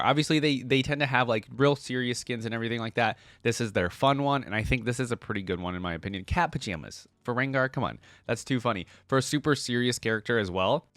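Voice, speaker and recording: A man's voice: mid-range voice, no echo. A man hosting a reaction video, talking casually and off the cuff in informal, slangy language as he thinks aloud.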